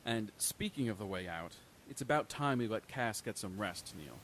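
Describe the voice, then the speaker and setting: An actor playing a skeptical man doctor who speaks in a measured, doubtful way. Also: fairly low pitch, animated, a bit bright, a little hiss or hum.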